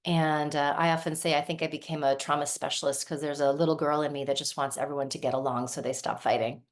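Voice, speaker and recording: Woman, 40s, from Lebanon, raised in the US; low-pitched, reflective workshop facilitator who keeps checking in with the room. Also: level tone, quick pace. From Lebanon, raised in the United States. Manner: thoughtful, often checks in with "right?". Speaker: somatic movement practitioner leading a workshop.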